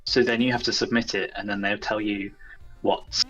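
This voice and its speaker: A man's voice: lowish voice, flat delivery, normal speed, pushed and bright, dull audio, soft background music. A man speaking as a game developer guest, explaining things step by step in an informal, understated interview style. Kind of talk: casual explanatory interview chat.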